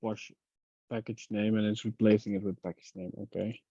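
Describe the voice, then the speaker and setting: Low mellow guy on a work call, thinking out loud about code, muffled lo-fi audio. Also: little pitch movement, moderate pace, vocal fry, slightly rough, dry recording. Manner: muttering, thinking aloud. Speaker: software engineer in a working group call. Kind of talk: casual technical troubleshooting talk. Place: online call.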